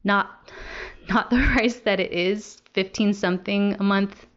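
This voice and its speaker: A woman's voice: mid-range voice, fairly even intonation, unhurried, muffled recording. A young adult woman talking casually to viewers as a book vlogger. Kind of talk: casual chat to camera.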